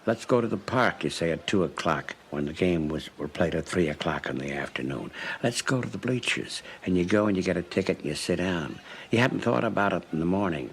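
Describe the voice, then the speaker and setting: Deep voiced guy reminiscing in a documentary interview, measured and nostalgic, bit of noise behind him. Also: animated, fairly bright tone.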